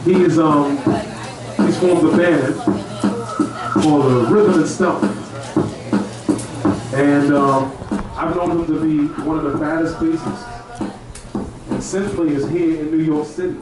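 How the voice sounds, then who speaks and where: A man's voice: fairly high pitch, fairly even intonation, takes it slowly, long pauses, recorded from a distance, background noise. A man hosting a live event on a handheld microphone, with casual, slangy, jive-talking banter and repeated phrases. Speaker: radio show host and interviewer. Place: rooftop bar stage at a festival at night. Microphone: handheld stage microphone. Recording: echoey live PA from afar, loud crowd.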